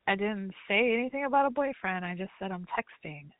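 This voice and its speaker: A woman's voice: mid-range voice, animated, fairly quick, no room sound. Blunt woman on a dull line. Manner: blunt, dismissive comebacks. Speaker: phone chat line caller. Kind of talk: casual banter.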